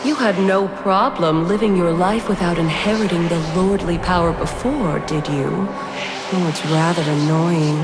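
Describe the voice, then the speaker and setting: A woman voicing a haughty, cold ancient female spirit who judges heirs in a game, measured and condescending, with a long reverb. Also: lowish voice, steady tone, heavy background noise, close to an everyday voice. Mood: taunting. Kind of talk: dramatic game voice acting. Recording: long cavernous reverb, music behind.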